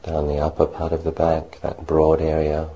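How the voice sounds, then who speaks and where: A man leading a guided meditation as a monk, speaking gently and unhurriedly in short phrases with long pauses. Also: rumbling low, completely flat delivery, muffled recording.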